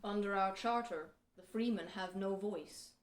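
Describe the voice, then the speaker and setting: A woman's voice: medium pitch, measured pace, natural voice. Woman playing an earnest, plain-spoken Pilgrim man, echoey. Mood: earnest, resigned. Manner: earnest, rustic archaic speech. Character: plain-spoken, dutiful male Pilgrim passenger, aggrieved but law-abiding. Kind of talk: amateur audio theater historical drama acting. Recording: echoey room.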